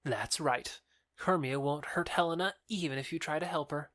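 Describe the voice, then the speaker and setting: A man's voice: a little high, normal speed, fairly bright tone, dry recording, clean studio sound, natural voice. Man acting taunting young rival lover. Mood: distrustful. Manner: challenging, sneering. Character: proud, taunting young male rival in love. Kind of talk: modernized Shakespeare audio drama acting.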